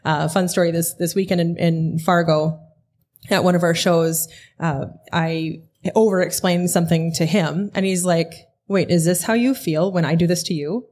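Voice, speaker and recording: A woman's voice: fairly low pitch, fairly even intonation, moderate pace, darkish tone. A woman co-hosting a podcast, chatty and teasing, laughing easily. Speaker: podcast co-host. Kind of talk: casual chat.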